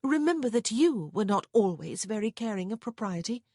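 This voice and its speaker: A woman's voice: medium pitch, very animated, moderate pace, warm tone, dry recording, clean studio sound, voice pushed up. A woman voicing a dramatic, fretful and protective married sister in a storybook, moaning and exclaiming. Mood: soothing.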